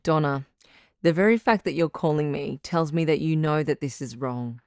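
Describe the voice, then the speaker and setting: A woman playing a composed, frank relationship doctor who hosts a radio call-in drama in a measured, counselling way. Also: low voice, darkish tone, no echo, studio quality. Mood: firm, caring. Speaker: radio advice show host.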